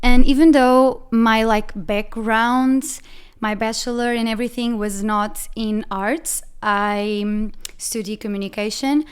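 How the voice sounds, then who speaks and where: Young woman with a Portuguese accent, casual podcast host, crisp voice pitched a touch high. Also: fairly even intonation, measured pace. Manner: chatty, many "like" fillers. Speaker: podcast host and ceramic artist. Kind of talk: casual Q&A chat.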